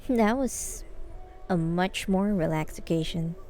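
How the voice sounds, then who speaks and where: A woman's voice: lowish voice, takes it slowly, background noise. A woman live-streaming a video game with casual, giggly reactions.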